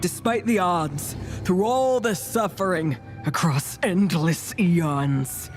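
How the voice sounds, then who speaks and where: A dramatic mystic woman from a fantasy video game, speaking slowly and portentously with a wistful, playful streak. Her theatrical narration drifts between mystery and chatty asides, with music playing clearly behind her. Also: fairly low pitch. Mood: solemn, earnest.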